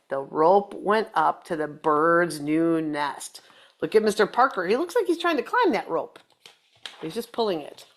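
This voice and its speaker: A woman's voice: medium pitch, fairly bright tone. A woman reading a children's picture book aloud, playfully chatting to young listeners in little asides. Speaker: storybook reader.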